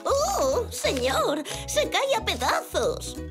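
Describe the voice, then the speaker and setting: A woman voicing a kindly, chuckling elderly great-grandmother zebra in a cartoon dub, gentle and exclamatory. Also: very high voice, wildly swooping pitch, drawn-out words, over music, heavily acted. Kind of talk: Spanish children's cartoon dubbing.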